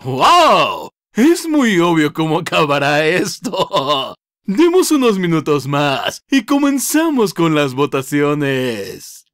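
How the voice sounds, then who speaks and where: A man's voice: fairly high pitch, huge pitch swings, slow pace, fairly bright tone, dry recording, studio quality, exaggerated voice. A man playing a flamboyant, cheerful contest announcer in an anime fandub, with a showy delivery.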